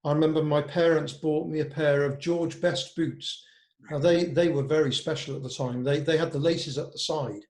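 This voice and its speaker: A man's voice: on the high side, fairly even intonation, normal speed, darkish tone. An older man from Cardiff reminiscing in a casual interview, chatty and full of anecdote, recorded with a roomy echo. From Cardiff, Wales; born in Devon. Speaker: interview guest, walking football manager. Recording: roomy, echoing sound.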